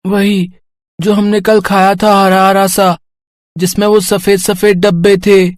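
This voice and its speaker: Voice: medium pitch, level tone, measured pace, no echo, natural voice. A performer voicing an eager four-year-old village boy in a cartoon story, in a childlike, pleading way. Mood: innocent, wanting.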